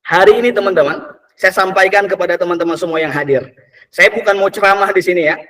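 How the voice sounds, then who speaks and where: A man in his early twenties giving an energetic motivational talk to an audience, repeating phrases and calling out to the crowd. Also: sits high, fast talker, recorded from a distance. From Indonesia. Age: 20s. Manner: energetic, repetitive, calls out "teman-teman" often. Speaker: motivational speaker.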